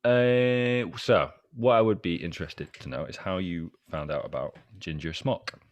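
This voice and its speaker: A man from Scotland hosting a casual interview, tentative and halting, often trailing off. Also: deep voice, lo-fi sound. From Edinburgh, Scotland. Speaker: podcast host, interviewer. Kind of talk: casual chat.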